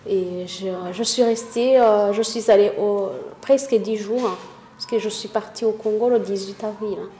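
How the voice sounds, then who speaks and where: A woman's voice: mid-range voice, steady tone, dark tone, slight background noise. Hesitant Rwandan-born woman, echoey room. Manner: halting, searching for words, many fillers. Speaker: interviewee, witness. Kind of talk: spoken testimony.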